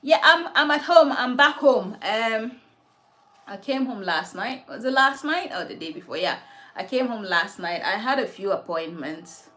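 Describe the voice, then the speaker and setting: A woman's voice: a little high, expressive, normal speed, bright, forceful tone. A woman from Ghana hosting a casual livestream from her home, talking reflectively about faith and answering viewers' comments. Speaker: livestream host.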